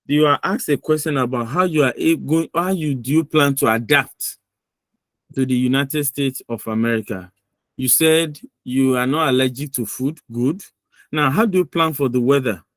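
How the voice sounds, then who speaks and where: A man runs a mock visa interview over a video call and gives students firm, lecturing feedback. His voice sits at a middle pitch and sounds dry and close. He repeats his points to drive them home. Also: moderate pace, no room sound.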